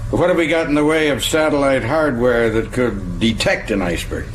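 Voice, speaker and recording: An actor playing a decisive navy admiral in a radio drama, brisk, pragmatic and commanding. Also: male voice, mid-range voice, a little hiss or hum, close to an everyday voice, poor audio. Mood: businesslike, probing.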